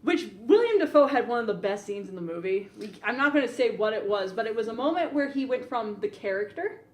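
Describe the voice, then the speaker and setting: A woman's voice: on the high side, lively intonation, brisk. A talkative, opinionated woman co-hosting a podcast, giving informal film commentary in casual conversation. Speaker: podcast co-host reviewing films. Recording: echoey, roomy sound.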